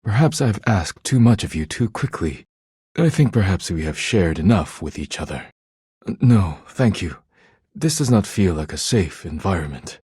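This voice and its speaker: A man playing a proud, thin-skinned poetic living dish in a video game, speaking in a formal, deliberate, grave manner. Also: low-pitched, big pitch swings, warm tone, slightly rough, no room sound, clean studio sound, exaggerated voice. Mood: wounded, cold. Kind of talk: video game character acting.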